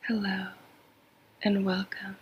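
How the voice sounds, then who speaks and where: A woman guiding a sleep meditation in a breathy half-whisper, soothing and measured, with long pauses. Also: medium pitch, monotone, muffled recording. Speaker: meditation guide. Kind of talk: slow guided sleep meditation.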